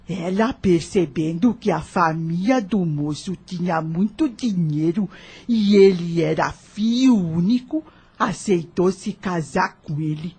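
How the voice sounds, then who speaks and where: A Brazilian woman playing an old, motherly enslaved nursemaid in an audio drama, husky and weathered, telling things solemnly in rustic folk speech. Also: on the low side, darkish tone, full character voice.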